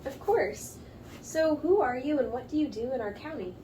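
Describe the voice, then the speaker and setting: A woman's voice: high-pitched, animated, normal speed, warm tone. Woman news host, distant noisy recording. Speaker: news show host and interviewer. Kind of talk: scripted show introduction and interview questions. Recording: distant mic, noticeable background noise.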